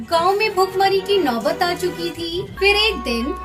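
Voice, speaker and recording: A woman's voice: very high-pitched, expressive, unhurried. A woman narrating a scripted children's moral story as its storyteller. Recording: music behind the narration.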